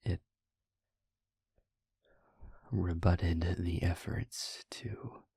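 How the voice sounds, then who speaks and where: Guy doing a brooding demon for audio rp, super low and breathy, halting, guarded but protective. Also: little pitch movement, mellow, no room sound, studio quality, exaggerated voice. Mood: reluctant, somber. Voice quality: breathy, husky, half-whispered. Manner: slow, halting, formal phrasing with heavy pauses. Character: weary, brooding male demon, gravely guarded yet protective. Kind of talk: intimate scripted audio roleplay.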